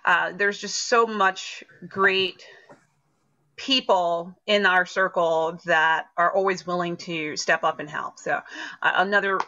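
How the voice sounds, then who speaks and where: A woman's voice: fairly low pitch, fairly even intonation, slow, pushed and bright. A woman chatting casually and enthusiastically as a member of a community theater panel. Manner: enthusiastic, effusive. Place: indoors on a video call. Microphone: computer mic on video call. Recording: dull, lo-fi video call audio.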